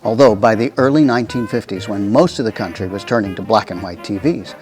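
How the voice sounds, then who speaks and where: A man's voice: fairly low pitch, a little fast, darkish tone, faint music behind. A man hosting with a scripted radio introduction, speaking conversationally straight to the listener. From United States. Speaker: host.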